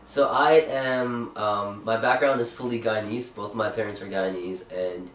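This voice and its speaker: A man's voice: fairly low pitch, steady tone, a little slow, recorded from a distance. Young Canadian man, vlog co-host, loose rambling delivery; echoey room, mic set back. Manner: chatty, rambling with fillers and restarts. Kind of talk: casual chat.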